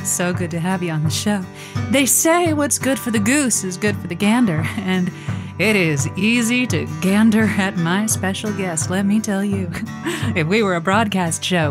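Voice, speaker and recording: A woman's voice: medium pitch, natural voice. Flirty female late-night radio host played by an actress, teasing patter, prickly edge, audio drama, music bed.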